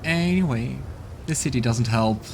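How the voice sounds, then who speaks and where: A man's voice: mid-range voice, expressive, moderate pace, noisy background. A man hosting a role-playing game let's play, chatting casually and musing aloud as he plays. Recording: game music playing behind.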